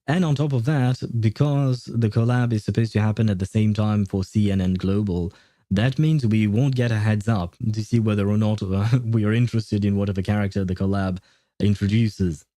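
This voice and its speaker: A man's voice: fairly low pitch, steady tone, moderate pace, dark tone, no echo. A man chatting casually as a game livestreamer, rambling and hesitant as he thinks aloud.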